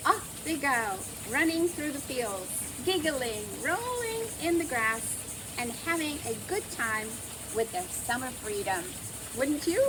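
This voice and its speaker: A woman narrates a storybook for children in a high voice. Her delivery is slow and gentle, with big swoops of pitch and theatrical emphasis. There is noticeable noise in the recording. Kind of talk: expressive scripted storybook narration.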